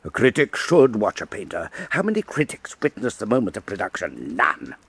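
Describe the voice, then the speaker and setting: An actor playing an imperious, effusive nobleman and art patron in a historical radio drama, declamatory and husky. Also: on the low side, huge pitch swings, normal speed, a bit bright, full character voice. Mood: commanding. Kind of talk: full-cast historical radio drama acting.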